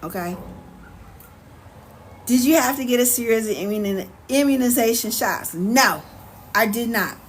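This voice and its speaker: An American woman chatting casually on a livestream as host, answering viewers in an animated, sassy, colloquial way. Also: fairly high pitch, unhurried, pauses often. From United States. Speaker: live stream host. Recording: clear background noise, ambient sound.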